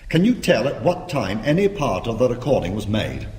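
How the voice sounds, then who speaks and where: A man's voice: medium pitch, sing-song, moderate pace, a little mellow, lightly acted. An actor playing a defiant, sardonic British criminal arguing his case insistently in a radio drama. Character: defiant, quick-witted male criminal defending himself. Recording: echoey, reverberant church-like space.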